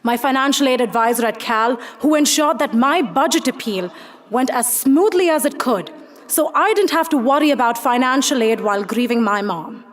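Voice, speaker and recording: A young woman from Singapore delivering a prepared graduation speech as the student speaker, earnest and measured, posing rhetorical questions to her classmates. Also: sits high, expressive. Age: young adult.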